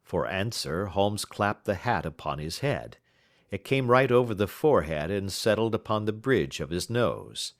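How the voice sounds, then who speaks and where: Expressive male audiobook narrator. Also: lowish voice, moderate pace. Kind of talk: scripted literary narration.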